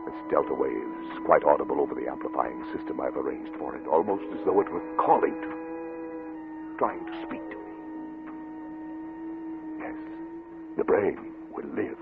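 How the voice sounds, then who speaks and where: Obsessed, paranoid scientist, formal and theatrical, widely varied delivery, thin vintage radio sound with music. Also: male voice, very deep voice, animated, normal speed, gravelly, pauses often, full character voice. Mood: brooding, obsessed. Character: obsessed, paranoid male scientist, haunted and defiant. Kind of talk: 1940s radio acting. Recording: tinny old radio recording with music.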